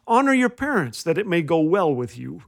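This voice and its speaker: A man's voice: fairly high pitch, sing-song, normal speed, vocal fry. A man hosting a humorous inspirational radio show, telling scripted comic stories in a wry, playful way. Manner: wry, playful, acts out quoted lines. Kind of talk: scripted comedic storytelling monologue.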